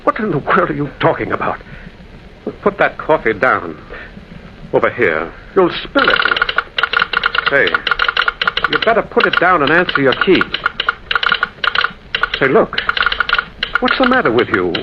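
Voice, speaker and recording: An actor playing a concerned railwayman in an old radio drama, telling a ghostly encounter in a dramatic, deliberate, urgent way. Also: on the high side, wildly swooping pitch. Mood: alarmed. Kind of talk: 1940s radio acting, dramatic first-person narration. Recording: dull, hissy old radio recording.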